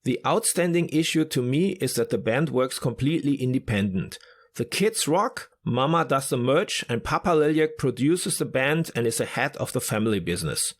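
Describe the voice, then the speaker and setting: A man's voice: medium pitch, lively intonation, moderate pace. A man hosting a rock podcast, reading a scripted introduction in an enthusiastic, upbeat manner. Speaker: podcast host.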